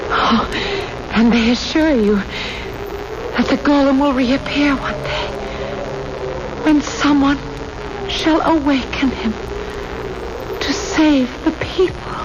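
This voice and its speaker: An actress playing a solemn, resolute young granddaughter in a 1970s radio drama, speaking in a measured, earnest way. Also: female voice, sits high. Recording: very noisy muffled vintage radio recording.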